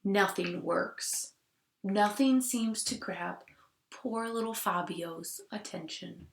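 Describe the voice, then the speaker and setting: A woman teacher reading a picture book aloud to children, expressively, with hushed asides. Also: medium pitch, slow, vocal fry, slight background noise. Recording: roomy, echoing sound.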